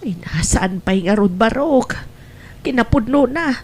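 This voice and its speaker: A woman's voice: a little high, expressive, a little slow, breathy edge, heavily acted. A woman acts an older provincial mother in a family radio drama. She speaks in an anxious, lamenting way, like a parent fretting over a child.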